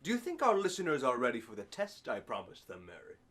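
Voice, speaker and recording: A man's voice: medium pitch, wildly swooping pitch, fast, a bit bright. A man playing a macabre, genteel and sardonic host of a 1940s radio horror show. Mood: darkly amused. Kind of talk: 1940s radio announcer acting.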